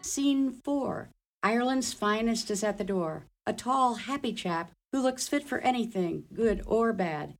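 A woman's voice: fairly high pitch, expressive, a little slow, faint music behind. A woman reading scripted narration for a radio play.

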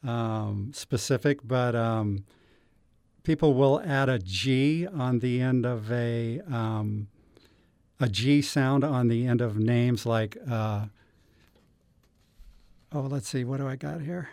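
A man's voice: mid-range voice, slow pace, pauses often, darkish tone, no room sound. A man, a newspaper editor, a guest on a radio show, thinking aloud with many ums. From Utah. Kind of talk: radio interview.

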